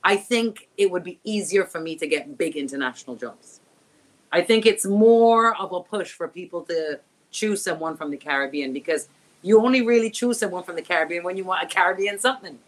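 A woman's voice: fairly low pitch, animated, a little fast, rough recording. A woman sportscaster, a guest on a remote interview, talking in an emphatic, reflective way and repeating her key words. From Barbados. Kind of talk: casual interview chat. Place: remote call from home.

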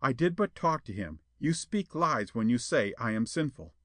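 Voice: mid-range voice, big pitch swings, normal speed, dry recording, clean studio sound, close to an everyday voice. A man voicing a sheltered young woman in a storybook, frightened but defiant, earnest and pleading.

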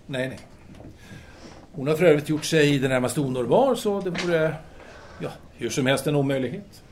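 A man's voice: a little high, a little hiss or hum, lightly acted. A man playing a self-absorbed intellectual philosopher in an audio drama, speaking formally and hesitantly, wordy and absent-minded. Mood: rationalizing.

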